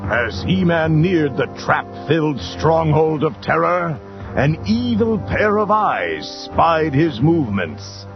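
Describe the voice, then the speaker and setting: A man's voice: fairly high pitch, sing-song, slow pace, lo-fi sound. A man giving dramatic scripted storybook narration. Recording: band-limited old readalong tape with music.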